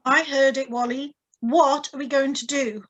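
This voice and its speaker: A woman as a high-voiced, anxious young wife. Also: fairly even intonation, normal speed, fairly bright tone. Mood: frightened. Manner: worried, hesitant, pleading. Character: anxious, honest young wife, timid and worried. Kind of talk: radio play reading.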